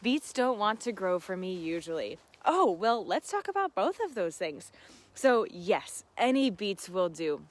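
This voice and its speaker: A woman's voice: mid-range voice, sing-song, measured pace. A woman hosting a casual live gardening Q&A, talking in an effusive, affirming, giggly and conversational way. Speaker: gardening host answering live viewer questions.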